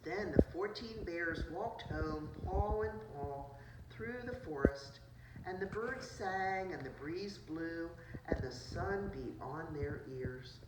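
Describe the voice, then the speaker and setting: A woman's voice: lowish voice, expressive, takes it slowly. A woman preschool teacher reading a picture book aloud to children, adding simple asides about the pictures. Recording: roomy, distant mic, noisy background.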